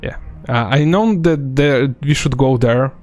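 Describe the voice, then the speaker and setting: A man's voice: medium pitch, a little slow. Young Serbian man streaming a game, easygoing, fillers, faint music under. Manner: relaxed, rambling, many fillers. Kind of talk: live gameplay commentary.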